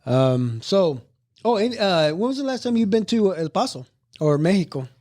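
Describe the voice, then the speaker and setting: A man's voice: high voice, big pitch swings, normal speed, darkish tone, no room sound, studio quality. A middle-aged man hosting a family podcast, chatting casually and rambling with many restarts. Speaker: podcast host.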